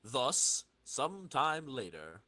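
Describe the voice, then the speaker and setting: A man's voice: medium pitch, very animated, extremely slow, sharp tone, no echo. A man hosting a podcast, narrating a comic dramatic reading and bantering with others.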